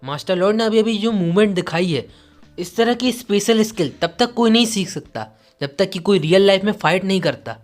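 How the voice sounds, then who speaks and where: A man's voice: high-pitched, a little fast, faint music behind, pitched above the performer's own voice, lightly acted. A man voicing an earnest, loyal retainer who speaks respectfully in a manga story. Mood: astonished. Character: earnest loyal male retainer, easily astonished.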